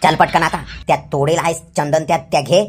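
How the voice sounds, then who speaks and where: A man's voice: on the high side, fairly even intonation, fairly quick, very noisy, natural voice. A man voicing a swaggering, vengeful village tough guy in a comic cartoon, boastful and drawling out his catchphrases. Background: loud background music.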